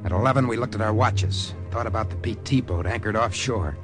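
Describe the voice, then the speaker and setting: An actor playing a cool, determined American agent, measured and serious, acting and narrating in old radio drama style. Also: male voice, lowish voice, over music, natural voice. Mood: tense, brooding. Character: cool, determined American spy leading a sabotage mission.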